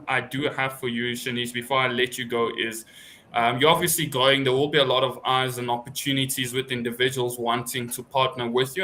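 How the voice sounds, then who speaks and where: An upbeat man hosts a live judging panel, his voice bright and forward. He talks in a chatty way, and there is a faint hum of noise behind him. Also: mid-range voice, poor audio. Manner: upbeat, chatty with filler "hey". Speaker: host and judge. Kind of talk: live online panel hosting.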